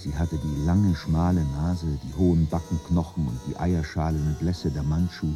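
A man narrating a literary radio drama in German in a measured, solemn, composed way. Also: extremely low pitch, fairly even intonation, mellow, faint music behind.

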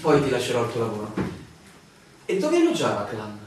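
Probing stranger in an echoey room. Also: male voice, medium pitch, huge pitch swings, a little fast. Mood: formal, inquisitive. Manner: formal, questioning, hesitant asides. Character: newly arrived land surveyor, a probing, insistent male stranger. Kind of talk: stage-style Italian radio drama. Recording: echoey room, distant mic, faint noise, clicks.

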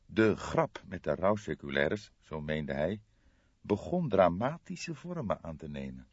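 Guy narrating a crime audiobook, deep-ish voice, very expressive, dramatic, dull dry recording. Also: unhurried, husky, no room sound.

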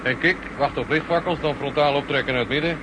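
An actor playing an obedient, matter-of-fact paratrooper in a 1960s radio drama. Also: male voice, fairly high pitch, quick pace, natural voice. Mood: dutiful. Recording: noisy 1960s radio recording, vehicle din.

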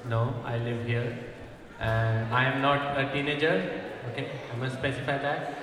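A man's voice: medium pitch, flat delivery, moderate pace, crowd noise. A young man from North India with an Indian accent performs stand-up comedy, bantering with the audience in a dry, teasing way. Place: echoing church hall at a festival. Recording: distant mic, very echoey hall, audience noise.

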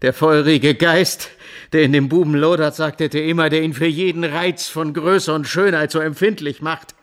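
An actor playing a scheming younger son in a German radio drama, theatrical, insinuating and falsely devout. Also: male voice, a little high, steady tone, normal speed, lightly acted. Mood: bitter sarcasm. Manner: theatrical, insinuating, savoring sarcasm.

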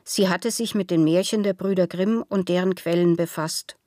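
Woman, lower voice, literary radio play narration, very dry studio sound. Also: steady tone, normal speed, mellow, no room sound.

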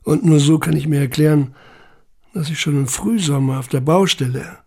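A man's voice: fairly high pitch, fairly even intonation, moderate pace, gravelly, warm tone, husky. A man delivering scripted first-person literary narration in an intense, brooding manner.

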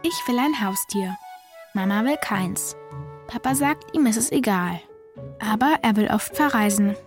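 A woman's voice: mid-range voice, animated, measured pace, lightly acted. A woman playing a young girl who narrates a children's radio play in a plain-spoken, confiding way. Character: young girl wishing for a pet, matter-of-fact. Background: music.

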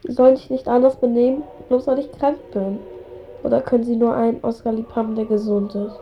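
A woman's voice: fairly high pitch, steady tone, fairly quick, lo-fi sound, slight background noise, close to an everyday voice. A woman playing a stubborn, wounded ten-year-old boy in a radio drama, with a blunt, earnest delivery. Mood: hurt, defiant.

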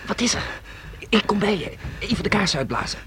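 An actor playing a calm, loyal young friend in a radio play, sensible and reassuring. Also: male voice, sits high, fairly quick. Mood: concerned, sensible. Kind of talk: Dutch radio play acting. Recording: noisy old radio play recording.

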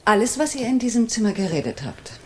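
An actress playing a cold, scheming accomplice of a gangster in a radio crime drama. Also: female voice, on the high side, very animated, fast talker, slight background noise. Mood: sly, cool.

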